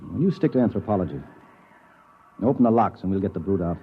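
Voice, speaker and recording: An actor playing a gruff, edgy American space explorer in an old radio drama, curt, arrogant and hard-boiled. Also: male voice, deep voice, expressive, fast, narrow, tinny audio, full character voice. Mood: gruff, superior. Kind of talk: 1950s radio drama acting with first-person narration. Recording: noisy, thin old radio recording.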